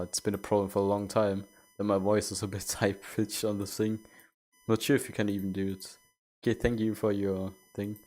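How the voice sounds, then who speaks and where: A man's voice: on the low side, a little mellow. A young man streaming a game, chatting casually and rambling with many restarts. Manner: rambling, self-correcting. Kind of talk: casual chat while gaming.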